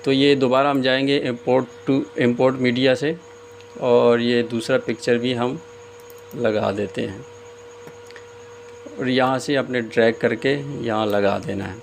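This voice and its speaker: A man giving a casual step-by-step screen tutorial as host, often pausing hesitantly mid-sentence. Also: medium pitch, steady tone. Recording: clear background noise, room ambience.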